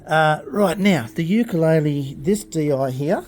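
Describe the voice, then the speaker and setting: A man's voice: on the high side, animated, unhurried, darkish tone, soft background music. Man giving an informal hands-on tutorial. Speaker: instructor demonstrating PA equipment.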